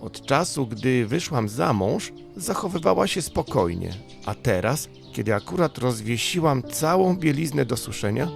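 A man puts on a storybook mother troll, gentle and unflappable. The pitch is lifted, the manner calm with mild exasperation. Music is heard behind. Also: moderate pace, vocal fry, hardly any pauses. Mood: patient exasperation.

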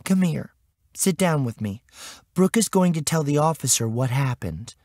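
Audiobook mom, read by a man: anxious, doubting, firm, slightly raised voice with lively intonation. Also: on the high side, normal speed, no echo, clean studio sound. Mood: worried, coaxing. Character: worried, disbelieving mother pressing for the truth. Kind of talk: audiobook character acting.

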